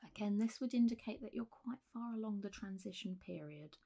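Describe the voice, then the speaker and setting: Soft, breathy woman in medical ASMR roleplay. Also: medium pitch. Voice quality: soft, breathy, often whispered. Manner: gentle, reassuring, unhurried bedside manner. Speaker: ASMR performer role-playing a clinic doctor.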